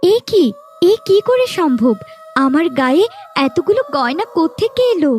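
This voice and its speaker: Woman as an innocent young cartoon girl, high voice. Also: big pitch swings, normal speed, music in the background. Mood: astonished. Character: innocent, wronged young village girl, earnest and tearful. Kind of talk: Bangla fairy-tale cartoon dubbing.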